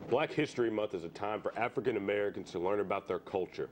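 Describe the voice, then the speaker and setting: A young man as a serious debate panelist, speaking in a very low, steady voice. There is a light hiss behind him. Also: quick pace, natural voice. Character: earnest young male debate guest.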